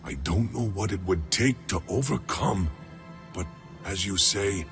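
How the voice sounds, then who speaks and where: A man's voice: deep voice, unhurried, over music. A man playing an earnest, troubled alien rebel in a video game cutscene, grave and formal. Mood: resigned.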